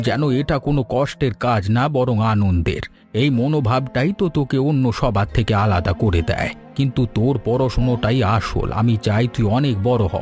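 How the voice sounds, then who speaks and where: A man playing a proud, caring old grandfather in an animated storybook cartoon, affectionate and full of advice. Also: medium pitch, close to an everyday voice. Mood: earnest advising. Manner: affectionate, advising. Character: caring, proud old grandfather who runs a paratha shop. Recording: music bed under the voice.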